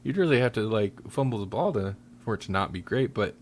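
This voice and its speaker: Man, low voice, casual podcast host, halting thinking-aloud delivery. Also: expressive.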